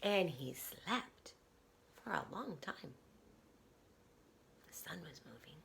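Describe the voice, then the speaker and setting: A woman reading a picture book aloud to young children like a teacher, dramatically, dropping into hushed whispers and coaxing listeners with questions. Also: low-pitched, very animated, slow, vocal fry, noisy background.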